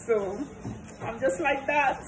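A woman's voice: high-pitched, slow pace. A young woman with a Nigerian accent chatting casually to a phone in a kitchen as a cooking vlogger. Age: young adult. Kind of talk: casual vlog chat. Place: small kitchen at home, indoors. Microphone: phone at arm's length. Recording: noisy room ambience.

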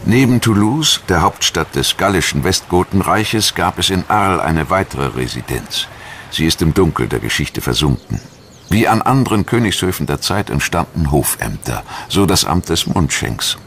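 A man narrating a scripted history documentary in a measured, articulate storytelling manner. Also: sits low, animated, a bit bright, a little hiss or hum.

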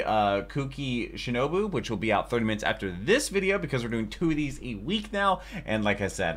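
A man's voice: medium pitch, fairly bright tone. An American man in his thirties giving casual, chatty, enthusiastic reaction commentary as a video host at home. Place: small furnished home room.

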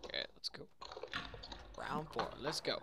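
The gravelly-voiced male teammate muttering mild curses over slightly noisy voice chat in a multiplayer shooter. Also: medium pitch, a little slow, bright, forceful tone. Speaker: gamer, co-player. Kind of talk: casual gaming chat.

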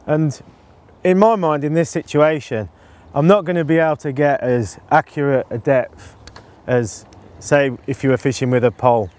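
A man in his forties explaining his canal fishing setup in a relaxed, casual way, with frequent ums, recorded outdoors on a lapel mic. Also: a little high, normal speed. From England. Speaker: angling presenter. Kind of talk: casual instructional talk to camera.

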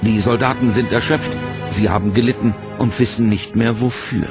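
Low-voiced male documentary narrator over music. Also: steady tone, normal speed. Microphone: studio voice-over. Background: loud dramatic music.

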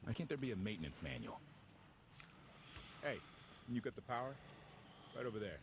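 An actor playing a gruff, sulky male starship pilot in a video game, with a curt, sardonic manner. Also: medium pitch, sing-song, normal speed, noisy background, natural voice. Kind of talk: video game dialogue acting. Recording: game audio with music.

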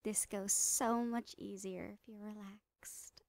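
A woman playing a smiling psychotic doctor in a horror ASMR roleplay, breathy and often whispering, soothing and falsely reassuring with drawn-out words. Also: medium pitch, fairly even intonation, darkish tone, no room sound, clean studio sound. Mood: soothing, sinister. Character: smiling psychotic woman doctor, sweetly menacing mad scientist. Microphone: close microphone, dry.

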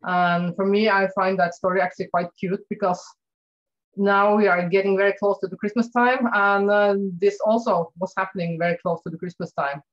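A woman's voice: mid-range voice, steady tone, moderate pace, highs cut off. A woman in her fifties from Norway, a non-native English speaker, talking hesitantly with many fillers as an interview guest. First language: Norwegian. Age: 50s.

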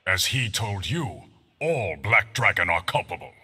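A man's voice: lowish voice, sing-song, unhurried, bright, forceful tone, slightly rough, big-room sound, heavily acted. An actor playing a stern, threatening male warrior in a fighting game. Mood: menacing. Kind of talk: video game character dialogue.

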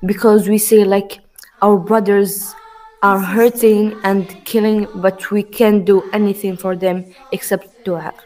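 A young woman with a mellow, dark voice speaks slowly in halting English on a webcam call. Faint music sits behind her. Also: medium pitch, level tone, low-quality recording. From Morocco. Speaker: video chat guest. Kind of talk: casual video chat. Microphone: webcam video call.

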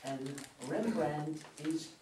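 A man's voice: on the high side, animated, slow pace. Older man reading stage directions, distant mic. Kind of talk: scripted narration at a play reading. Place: meeting room, around a table. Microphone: far camera. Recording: distant mic, echoey room, faint noise.

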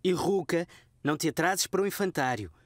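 An actor playing a calm, kind young father in a cartoon dub, with a European Portuguese accent. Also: a little high, a little fast, no echo, clean studio sound, natural voice. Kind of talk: cartoon dubbing.

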